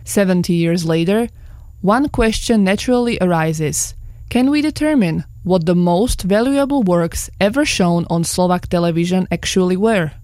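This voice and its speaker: A woman presenting an international radio program, reading a scripted script in a measured, even way in a studio. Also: fairly low pitch, no echo. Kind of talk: scripted broadcast narration.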